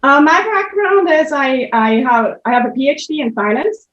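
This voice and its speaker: A woman's voice: high voice, expressive, normal speed, a bit bright. Swiss-accented woman presenting over video call. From Switzerland. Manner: explanatory, methodical, with frequent restarts. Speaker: financial literacy researcher and presenter. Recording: muffled lo-fi video call audio.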